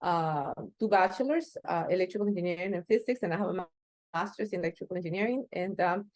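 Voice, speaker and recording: A woman in her fifties from Costa Rica, speaking English with a Costa Rican accent as an interview guest, answering haltingly with many fillers and restarts. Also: lowish voice, darkish tone, no echo. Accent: Costa Rican Spanish. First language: Spanish. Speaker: interview guest, space agency executive.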